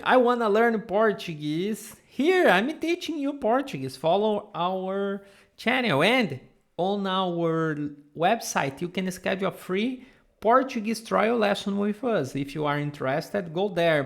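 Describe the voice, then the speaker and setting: A woman's voice: lowish voice, lively intonation, a little slow. A Brazilian woman with a Brazilian accent teaching Portuguese in a live online lesson, patiently repeating sounds for students to copy. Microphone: camera mic.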